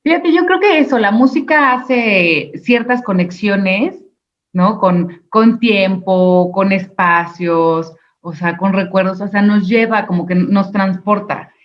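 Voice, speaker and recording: A woman hosting an interview over a video call, talking in a slow, reflective, agreeable way. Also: medium pitch. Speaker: interview host. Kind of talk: casual interview chat. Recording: dull lo-fi video call audio.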